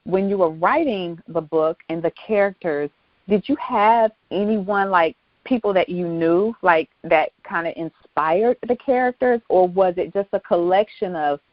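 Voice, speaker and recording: A woman hosting a casual interview, talking in an expressive, reactive way. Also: lowish voice, no echo, dull audio. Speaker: talk show host.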